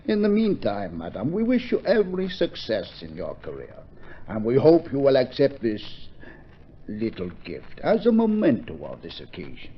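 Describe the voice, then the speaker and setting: An actor playing a gracious, stately Tsar with formal, courtly manners in an old radio drama. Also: male voice, medium pitch, huge pitch swings, normal speed, slightly rough. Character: gracious, stately Russian Tsar, grown man. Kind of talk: 1950s-style radio drama acting. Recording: dull, noisy vintage radio recording.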